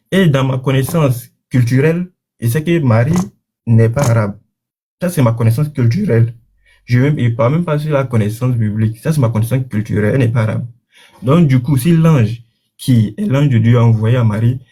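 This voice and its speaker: A man with a mellow, dark voice debates a religious question, weighing each point carefully with "if" clauses. He is not an Arabic speaker, and faint background noise sits under his voice. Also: medium pitch. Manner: cautious, conditional reasoning.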